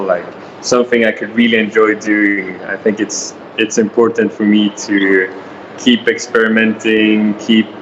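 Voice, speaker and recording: A man's voice: lowish voice, dull audio, slight background noise. A man of twenty-one from Sweden, answering interview questions in a hesitant, thoughtful way. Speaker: interview guest.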